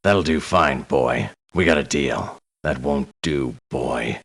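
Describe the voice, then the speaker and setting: Casting: menacing veteran soldier of fortune for a game, gravelly, hard-edged delivery, blunt and belittling. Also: male voice, extremely low pitch, slow pace, fairly bright tone, heavily acted. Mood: stern, measured. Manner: blunt, folksy, talks down to the listener. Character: gruff, menacing veteran mercenary man, stern and condescending. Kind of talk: video game character voice lines.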